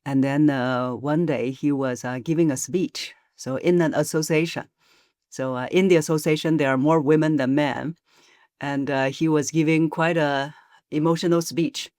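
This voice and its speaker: A woman voice coach, a podcast guest, explaining in a measured way with frequent pauses and hesitations. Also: low-pitched, fairly even intonation, a little mellow, no echo, clean studio sound. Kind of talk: podcast interview.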